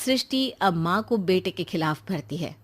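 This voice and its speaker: A woman's voice: lowish voice, expressive, moderate pace. A woman narrating a scripted moral story as its storyteller.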